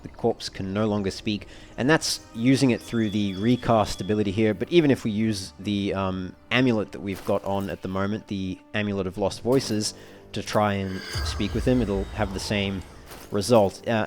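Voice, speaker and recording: Low-voiced man explaining a video game. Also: darkish tone. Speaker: host of a game walkthrough. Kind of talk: casual let's play commentary.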